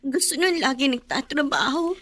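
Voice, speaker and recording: An actress playing a teenage girl worried for her injured father, talking softly and tearfully. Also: sits high, lively intonation, moderate pace, no echo, clean studio sound. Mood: sad. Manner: soft, tearful, downcast.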